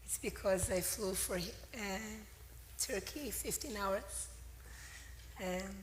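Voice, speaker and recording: Hoarse woman speaking slowly in a hall. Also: medium pitch, a little hiss or hum. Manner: halting, searching for words. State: hoarse, losing her voice. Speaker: panelist, legal and government relations lead. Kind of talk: conference panel talk. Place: conference hall. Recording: echoey hall with faint ambience.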